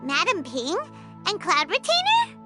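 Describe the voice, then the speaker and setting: A woman's voice: very high voice, wildly swooping pitch, a little slow, full character voice. An actress playing an eager, innocent little girl in a video game, chirpy and guileless. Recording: loud music behind the voice.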